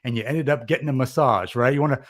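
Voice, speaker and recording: A man's voice: mid-range voice, quick pace. A man chatting casually as a live show host, with an enthusiastic, effusive way of talking.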